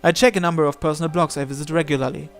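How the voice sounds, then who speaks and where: High-pitched man reading game story aloud. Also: fairly even intonation, rapid-fire. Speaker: gamer reading a visual novel aloud. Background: background music.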